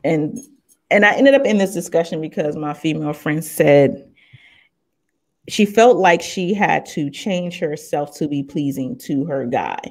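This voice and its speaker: A woman's voice: low-pitched, expressive, measured pace, a little mellow. A middle-aged woman hosting a casual, frank panel chat, blunt and slangy, speaking into a studio microphone. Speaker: talk show host. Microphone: large studio microphone on a video call.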